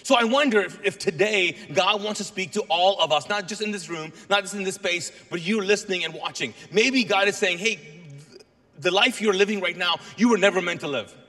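High bright-voiced pastor, hall reverb. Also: male voice, fairly quick. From Kuwait. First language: Urdu. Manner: lively, joking with the congregation. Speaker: guest pastor. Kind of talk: sermon. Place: large church auditorium.

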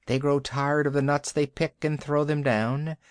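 Man playing a proud, deliberate giant python. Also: fairly high pitch, level tone, clear, resonant tone, no echo, clean studio sound, natural voice. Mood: scornful. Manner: deliberate, haughty, archaic phrasing. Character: huge old rock python, proud, brooding, scornful.

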